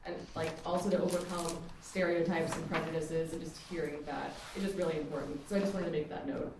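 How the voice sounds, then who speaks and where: A woman from Texas with a deep voice, an audio producer speaking earnestly on a panel. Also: steady tone, quick pace. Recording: echoey room, distant mic, faint noise.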